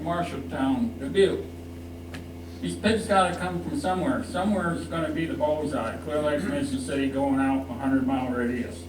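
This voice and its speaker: A plain-spoken American man speaks emphatically at a public meeting, laying out his case in short, clipped phrases. His voice sits a little high for a man. It is picked up at a distance in an echoey room with a clear background hum. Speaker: farmer speaking at public comment. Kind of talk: impassioned public comment to a town council. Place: indoor council meeting room.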